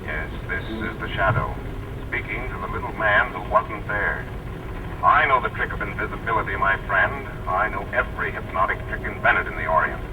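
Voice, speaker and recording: An actor playing a menacing, all-knowing invisible avenger, grandiose radio melodrama through a thin filter. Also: male voice, on the low side, moderate pace, far from the mic. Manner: grandiose, ominous, declamatory. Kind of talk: 1940s radio melodrama acting. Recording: tinny old radio, vehicle noise.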